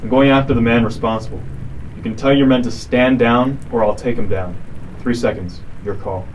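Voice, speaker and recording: A tough young vigilante in a radio drama, played by a man, terse and defiant at a middling pitch. He sounds slightly away from the mic, with some noise behind him. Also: fairly even intonation, normal speed, close to an everyday voice. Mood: commanding. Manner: terse, commanding. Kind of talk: superhero radio drama acting. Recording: slightly distant mic, noisy ambience behind.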